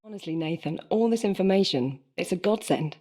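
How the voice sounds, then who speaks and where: An actress playing a calm journalist in a game cutscene, asking polite, measured questions. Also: female voice, fairly low pitch. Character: calm female journalist, probing. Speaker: journalist interviewer.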